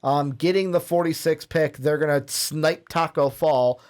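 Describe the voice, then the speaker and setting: A man's voice: a little high, fairly even intonation, measured pace. A man co-hosting a sports podcast, reading draft picks casually with fillers and self-corrections. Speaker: podcast co-host. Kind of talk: reading a mock draft list.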